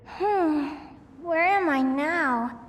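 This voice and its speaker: Very high-pitched, eager young adventuress. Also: female voice, very slow, slight background noise, rough recording. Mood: puzzled, weary. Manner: expressive, exclaiming, talks to herself. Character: eager dreamy young woman explorer, kind and excitable. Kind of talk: video game voice acting.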